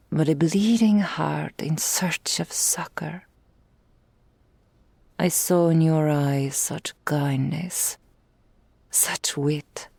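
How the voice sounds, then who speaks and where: Woman voicing a low, creaky, breathy dragon lady. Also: warm tone, dry recording, full character voice. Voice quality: breathy, often whispered, creaky. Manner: slow, formal archaic phrasing, regal and doting. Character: possessive, adoring female dragon in human form, regal lover. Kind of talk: intimate ASMR roleplay, scripted character acting. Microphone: close studio mic, dry.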